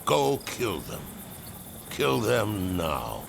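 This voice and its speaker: A deep-voiced, ruthless general barks orders in a gravelly, menacing way. His delivery is slow but swings widely in pitch, as a video game villain with noise behind him. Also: huge pitch swings, full character voice. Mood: impatient anger. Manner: commanding, menacing. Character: ruthless, bullying male general hunting rebels.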